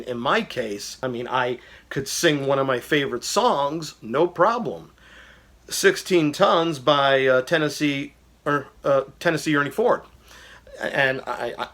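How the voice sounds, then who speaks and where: A man's voice: medium pitch, a bit bright. A man talking casually about a favorite album, enthusiastic and rambling, with lots of fillers and restarts. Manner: briefly sings lyric snippets. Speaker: vlogger reviewing albums. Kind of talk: casual personal music commentary.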